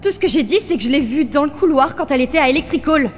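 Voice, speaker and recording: Woman acting a high-voiced, argumentative crewmate. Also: lively intonation, fast, slight background noise. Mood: defensive. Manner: insistent, questioning. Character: defensive, argumentative female astronaut crewmate, reasoning aloud. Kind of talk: French fan dub of animated short.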